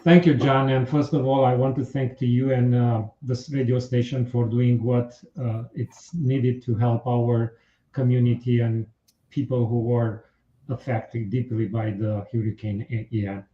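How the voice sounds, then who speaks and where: A man with a Romanian accent speaking as a political candidate in a radio interview, in formal, earnest, halting phrases. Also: medium pitch, little pitch movement. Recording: muffled, roomy radio interview sound.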